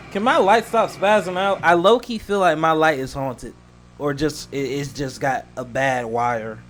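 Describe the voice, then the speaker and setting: A man's voice: fairly high pitch, fairly bright tone. A man doing casual reaction commentary as a host, slangy and joking, drifting into rambling asides.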